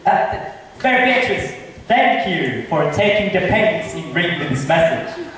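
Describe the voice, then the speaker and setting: A man in an amateur school play, playing a vain, comically lovestruck bachelor with halting, exclamatory delivery. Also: high voice, big pitch swings, recorded from a distance, crowd noise. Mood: flustered. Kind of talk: amateur school stage soliloquy. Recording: echoey hall from afar, clear noise.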